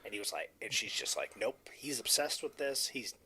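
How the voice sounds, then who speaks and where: A man co-hosts a movie chat, chiming in with short replies and quick jokes. His pitch moves around a lot as he talks. A faint hiss runs under the recording. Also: lively intonation, pushed and bright. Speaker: podcast co-host. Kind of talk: casual movie commentary chat.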